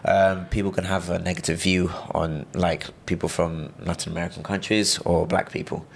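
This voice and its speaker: A man's voice: sits low, flat delivery, normal speed, creaky, slight background noise. A young man from Brazil, with a British-tinged accent, chatting casually as a podcast guest, rambling with lots of fillers and restarts. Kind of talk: casual interview chat.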